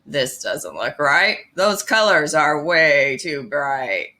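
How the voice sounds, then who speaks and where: Woman putting on a grumpy, blunt storybook toad, lowered voice. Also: animated, slow, bright, forceful tone, heavily acted. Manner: blunt, disapproving. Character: grumpy, critical male toad from a children's storybook.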